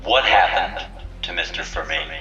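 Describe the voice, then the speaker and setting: A man playing a stern, sanctimonious council leader in an audio drama, solemn, measured and commanding. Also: low-pitched, lo-fi sound. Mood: firm, coaxing. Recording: roomy, distant voice under music.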